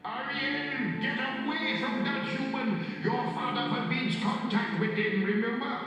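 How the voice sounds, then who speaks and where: A man voicing an anxious, scolding little crab with a Jamaican accent in an animated storybook. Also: very high-pitched, measured pace. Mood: alarmed. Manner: nagging, excitable. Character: anxious, scolding male cartoon crab, small. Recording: echoey, distant, dull sound with music.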